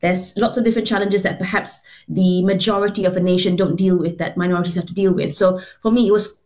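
A woman's voice: lowish voice, steady tone, fast, a little mellow. A middle-aged woman from Singapore, a guest telling her story earnestly over a video call, with fillers and restarts. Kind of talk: remote interview.

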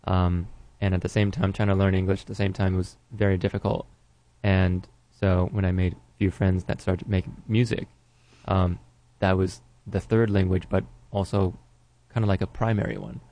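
A man with Japanese as his first language, talking in English with a very low, gravelly and flat voice. His tone is dark, and he speaks haltingly with pauses and fillers. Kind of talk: casual interview answer.

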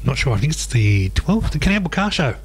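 A man's voice: mid-range voice, big pitch swings, a little fast, slightly rough. Chatty man on the radio. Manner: chatty, laughs often, restarts. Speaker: radio host. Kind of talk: casual chat.

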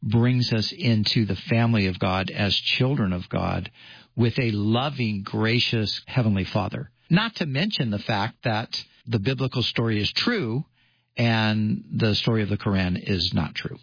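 A man's voice: lowish voice, measured pace, creaky, slightly rough, no room sound, lo-fi sound. A man giving assertive commentary on a church radio program. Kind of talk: on-air commentary.

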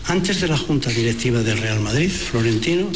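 A man's voice: mid-range voice, lively intonation, normal speed. A man speaking at a press conference, blunt and indignant, rambling. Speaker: club president at press conference. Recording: press conference audio with background noise.

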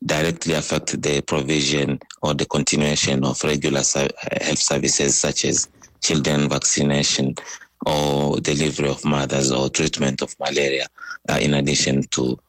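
A male doctor giving a remote news interview, speaking haltingly with many pauses. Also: very deep voice, little pitch movement, a bit bright, no room sound. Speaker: doctor with an aid group, interviewee. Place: remote link.

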